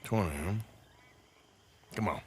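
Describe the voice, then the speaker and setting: An actor playing a gruff, sardonic, menacing American outlaw gunslinger in a video game, with a dry sarcastic manner. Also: male voice, low-pitched, slow, noisy background. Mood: skeptical, dry. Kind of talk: video game cutscene acting.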